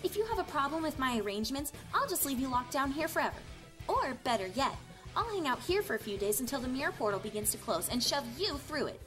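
Smug young female pony villain played by a woman, high voice, taunting and theatrical, audio drama over music. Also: sing-song, fast, fairly bright tone. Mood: coolly threatening. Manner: mocking, theatrical. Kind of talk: fan audio drama acting.

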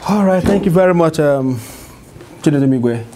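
A middle-aged man speaking as an event host, introducing a speaker in formal, measured, emphatic phrases in an indoor room. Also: a little high, expressive, heavy background noise. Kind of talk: public address, introduction.

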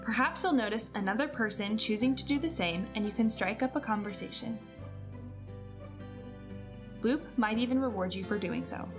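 A woman's voice: fairly high pitch, normal speed, pauses often. A woman reading scripted narration for a product promo video. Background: guitar music.

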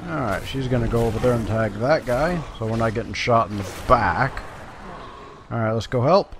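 A man's voice: mid-range voice, moderate pace, noisy background. A man giving playful, casual commentary as he plays a video game, talking to himself and the game. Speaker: gaming video host.

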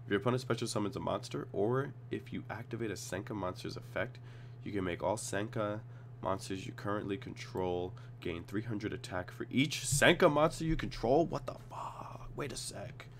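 A man with a cold and a slightly raspy voice, casually reading card text aloud and reacting as he reviews cards at his computer. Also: fairly low pitch, moderate pace, a little hiss or hum. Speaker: card game tutorial host.

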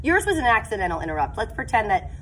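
A woman's voice: on the high side, sing-song, fast, fairly bright tone, slight background noise. A woman in her thirties, a teacher, talking upbeat to the camera in a classroom and asking questions. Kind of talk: classroom video lesson. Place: school classroom, indoors. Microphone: phone camera at arm's length.

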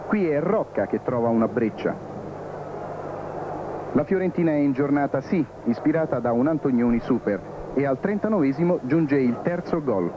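A man's voice: mid-range voice, animated, moderate pace. A man narrating a scripted football match report on an old television broadcast. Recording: phone-like, noisy archive TV broadcast.

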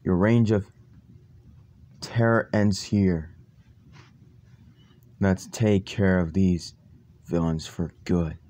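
A man plays a determined young hero in a fan-made zombie action story. The voice is fairly low and very slow. The lines come in halting, dramatic chunks, as a vow to fight evil. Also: steady tone, mellow. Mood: stern, defiant. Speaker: amateur voice actor.

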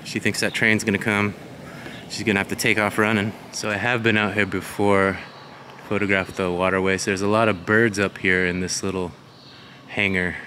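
Loud outdoor noise and wind surround a man in his forties. He speaks in a fairly low voice, casually narrating a walk for his vlog on a handheld camera. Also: level tone, moderate pace. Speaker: vlogger. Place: outdoors along a waterfront. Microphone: handheld camera microphone. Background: loud wind and outdoor ambience.

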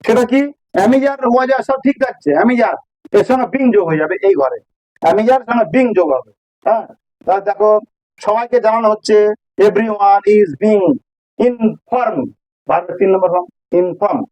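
A man's voice: high-pitched, normal speed, a little mellow, dry recording, rough recording. A man with a Bengali accent teaching a grammar lesson informally, explaining step by step and repeating phrases for emphasis.

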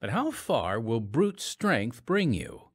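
A man's voice: medium pitch, big pitch swings, takes it slowly, no room sound. A man hosting and narrating an audio drama podcast in a scripted, theatrical, emphatic style.

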